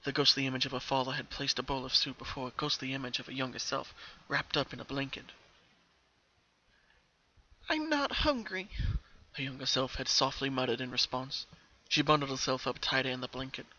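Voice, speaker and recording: A man's voice: medium pitch, completely flat delivery, fast talker, few pauses, sharp tone, dull audio. A man reading a scripted story aloud as its narrator, in a dramatic storytelling style.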